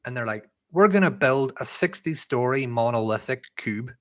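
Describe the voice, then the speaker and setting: A man's voice: mid-range voice, animated, moderate pace. Casual man hosting a live stream. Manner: informal, conversational, thinking aloud. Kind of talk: casual live chat, reading and answering viewer questions.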